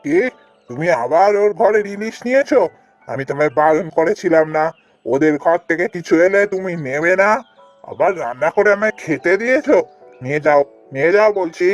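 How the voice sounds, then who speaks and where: A man's voice: a little high, lively intonation, a little fast, soft background music, natural voice. A man voicing a stubborn, grumbling young village husband and elder brother in a cartoon fairy tale, scolding and complaining. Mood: annoyed.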